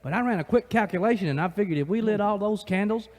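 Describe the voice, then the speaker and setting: Guy doing brainy wordy american cowboy, high voice, echoey hall w music. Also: fast, natural voice. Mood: explaining. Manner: wordy, animated. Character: brainy, long-winded cowboy bandmate. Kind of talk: old-time cowboy radio comedy. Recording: reverberant hall, music behind.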